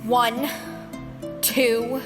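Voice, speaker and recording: Young girl warrior, played by a woman, clenched and angry, very slow delivery, fantasy radio drama. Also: a little high, little pitch movement, music in the background. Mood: angry, strained. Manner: clenched, effortful counting. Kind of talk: fantasy audio drama acting.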